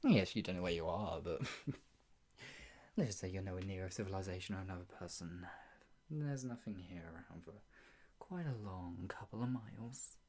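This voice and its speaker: A performer playing a sadistic, obsessive yandere captor in an improvised ASMR roleplay, creaky, taunting and drawn out, slipping between talk and whispers. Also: deep voice, animated, a little mellow. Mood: amused, menacing. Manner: taunting, drawn-out delivery with frequent giggles and whispers.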